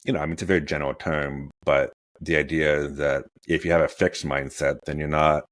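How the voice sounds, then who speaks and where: Podcast host, forties, man, booming low voice, dry sound. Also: fairly even intonation, a little fast, no echo. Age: 40s. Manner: rambling, many fillers and restarts. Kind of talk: interview conversation.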